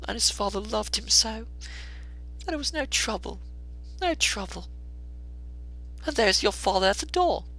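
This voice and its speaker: A man voicing a gentle Victorian working-class wife and mother, tender and fond, for a storybook reading. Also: sits high, expressive, measured pace, long pauses, pitched above the performer's own voice, exaggerated voice, low-quality recording. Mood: tender, sad.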